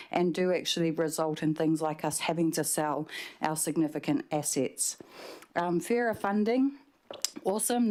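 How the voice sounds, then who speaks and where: A woman's voice: low voice, a little mellow. A young woman councillor reading prepared remarks in a council chamber, mixing in Māori phrases. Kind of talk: formal council debate speech. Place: large council chamber indoors. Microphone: desk microphone.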